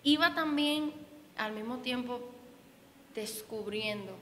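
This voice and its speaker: A young Dominican woman speaks with a slightly high voice and a chatty, meandering manner, circling back with fillers. She talks into a handheld mic in an indoor room whose long reverb rings after her words, with a faint hiss underneath. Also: takes it slowly, bright, forceful tone. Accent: Dominican Spanish. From Dominican Republic. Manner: chatty, many fillers and restarts. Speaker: singer. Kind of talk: casual interview answers. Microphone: handheld mic held by interviewer. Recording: echoey room with faint noise.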